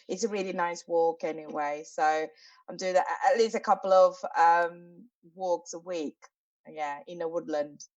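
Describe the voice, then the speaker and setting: A woman's voice: lowish voice, far from the mic, dull audio. A woman in her forties from Sri Lanka, a podcast guest speaking casually with many fillers and restarts. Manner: halting, many fillers and restarts, laughs often. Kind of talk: casual interview talk.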